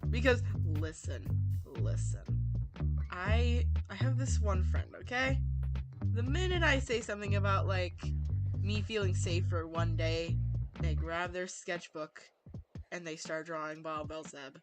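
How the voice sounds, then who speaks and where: Casting: female game streamer, highly animated pitch, expressive casual chat, clear music behind her. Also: a little slow. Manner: expressive, gushing, repeats phrases for emphasis. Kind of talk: casual livestream chat while playing a visual novel.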